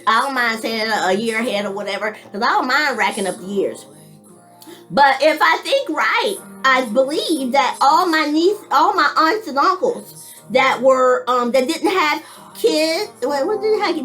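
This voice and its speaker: A woman in her late fifties talks straight to her camera in a loose, personal vlog. Her voice sits a little high, and she rambles in folksy, informal speech, restarting sentences and chuckling between thoughts. Faint music plays under her talk. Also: sharp tone. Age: 50s. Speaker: vlogger. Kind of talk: casual personal monologue.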